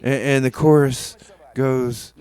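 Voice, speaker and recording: A man hosting a comedic community radio show between songs, talking in a halting, repetitive, mock-earnest way. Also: mid-range voice, fairly even intonation, a little mellow, clear, resonant tone. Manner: rambling, halting, repeats himself.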